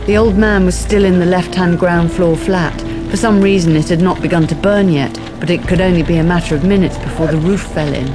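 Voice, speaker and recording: A woman's voice: lowish voice, fairly even intonation, fairly quick, hardly any pauses. A woman reading scripted narration for a radio drama. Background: music.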